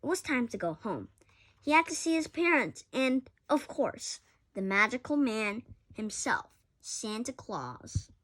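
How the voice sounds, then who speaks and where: A woman with a fairly high voice reads a children's story at a slow pace. Her delivery is expressive, and she now and then stumbles over a word and corrects herself. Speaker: story reader. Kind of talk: children's picture-book read-aloud.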